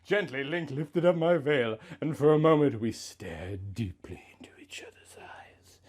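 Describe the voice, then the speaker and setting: A man in his thirties reading a story aloud in a melodramatic, mock-sentimental comic style, swinging between hushed and loud. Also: medium pitch, animated, measured pace, slightly rough. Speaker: comic host reading out fan fiction.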